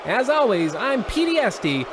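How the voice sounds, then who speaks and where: A man playing an excitable old-time baseball radio announcer with a loud, mocking delivery. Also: very high voice, moderate pace, a crowd in the background, background noise. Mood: excited, mocking. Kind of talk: mock old-time sports radio commentary.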